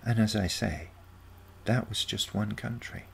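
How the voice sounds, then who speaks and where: A man voicing a calm, condescending, self-assured teenage granddaughter from the future in a short story reading. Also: on the low side, measured pace, vocal fry, slight background noise, pitched above the performer's own voice. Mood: serious, grave. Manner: calm, matter-of-fact, condescending.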